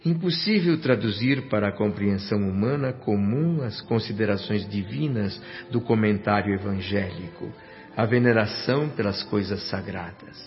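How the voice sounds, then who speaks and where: A man's voice: lowish voice, moderate pace, lightly acted. An actor playing a man's spirit who narrates his memories of the afterlife in a solemn, reverent, literary radio drama style. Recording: dull lo-fi radio sound with music. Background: music.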